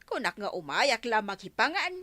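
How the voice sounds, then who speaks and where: A complaining older village auntie acted by a woman in radio comedy. Her voice is bright and fairly high, rising and falling widely as she drags out plaintive, reluctant replies. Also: very animated, normal speed, dry recording, heavily acted. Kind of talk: Ilocano radio comedy drama acting.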